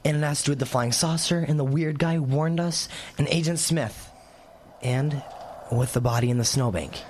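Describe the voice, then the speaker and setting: A man's voice: on the high side, normal speed, a little mellow, lightly acted. Man acting as an anxious teenage boy, noisy recording. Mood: intent, wary. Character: cautious, anxious teenage boy, one of twin sleuths. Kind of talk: radio drama acting. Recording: clear background noise.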